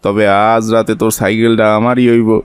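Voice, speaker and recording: A man's voice: fairly low pitch, little pitch movement, a little fast, clear, resonant tone, close to an everyday voice. A man voicing a sly, boastful village thief in a cartoon, wheedling and gloating in colloquial Bangladeshi Bengali dialect. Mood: scheming, gloating. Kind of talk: cartoon voice acting.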